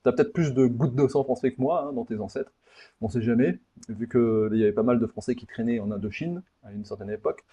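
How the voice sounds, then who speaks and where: A man's voice: medium pitch, quick pace, mellow, husky, dull audio. A man from Paris, hosting a casual interview in an informal, blunt, digressive way and often repeating words. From Paris, France. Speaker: podcast host, interviewer.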